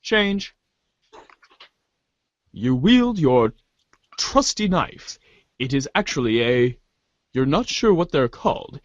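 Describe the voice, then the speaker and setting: Lively, higher-pitched man reading theatrically. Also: no room sound, muffled recording. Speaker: narrator reading a webcomic aloud. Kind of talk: amateur dramatic reading of narration.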